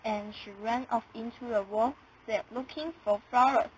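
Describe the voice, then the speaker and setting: A young woman gives scripted narration of a fairy tale. Her pitch is on the high side. Some faint noise is audible behind her. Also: steady tone, moderate pace, rough recording.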